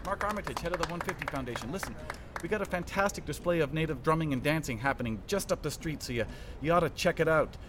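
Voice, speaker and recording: An actor in a satirical audio drama playing a fast-talking, evasive middle-aged TV writer who stammers and repeats himself as he cajoles. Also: on the high side, very noisy, natural voice. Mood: hurried, glad-handing.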